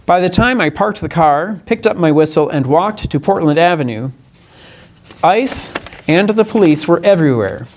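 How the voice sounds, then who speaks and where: Male political candidate, slightly high voice, earnest, deliberate, halting delivery, press statement partly read aloud. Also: expressive, a little mellow. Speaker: congressional candidate.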